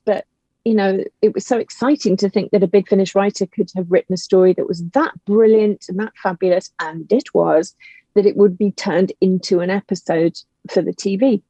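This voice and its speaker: Female interview guest, mellow voice with vocal fry, emphatic and impassioned, casual chat. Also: mid-range voice, normal speed, dry recording, rough recording. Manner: emphatic, animated storytelling with exasperated rhetorical questions. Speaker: guest, audio drama writer. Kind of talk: passionate casual conversation.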